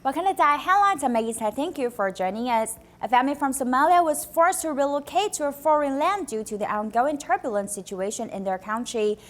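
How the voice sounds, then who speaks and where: A woman presenting the news as anchor, reading a script in a bright, even studio delivery. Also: sits high, fairly quick, few pauses, faint music behind.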